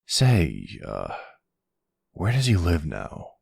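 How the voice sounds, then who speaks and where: Guy doing a smooth talking older mafia boss, super low husky voice, slow and teasing, asmr roleplay. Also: huge pitch swings, darkish tone, dry recording, clean studio sound, exaggerated voice. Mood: teasing, flirtatious.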